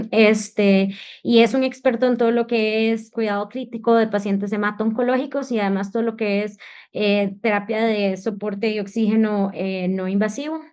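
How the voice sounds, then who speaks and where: A woman's voice: medium pitch, fairly even intonation, moderate pace, darkish tone, smooth, lo-fi sound. A woman from Costa Rica with a Costa Rican accent, an anesthesiologist introducing a speaker informally over a video call, with "este" fillers. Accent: Costa Rican Spanish. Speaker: moderator, anesthesiologist.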